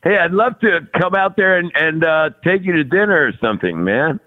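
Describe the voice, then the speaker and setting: A man's voice: fairly high pitch, animated, moderate pace. Rambling man in his sixties, tinny line. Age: 60s. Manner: rambling, repeats words, laughs often. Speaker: podcast guest. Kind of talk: casual interview conversation.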